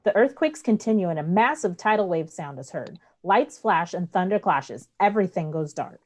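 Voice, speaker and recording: Low-voiced woman narrating stage directions. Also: expressive, moderate pace. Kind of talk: scripted narration.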